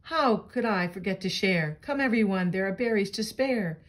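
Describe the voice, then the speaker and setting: A woman's voice: medium pitch, moderate pace, close to an everyday voice. Woman playing a muddled, good-natured big bear. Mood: apologetic. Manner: expressive, simple storybook delivery. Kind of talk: children's storybook read-aloud.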